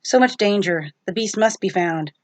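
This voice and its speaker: A woman's voice: medium pitch, lively intonation, moderate pace, dry recording, lightly acted. A woman voicing a stern goddess huntress in a storybook, a protective sister speaking in a curt, formal way. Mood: troubled.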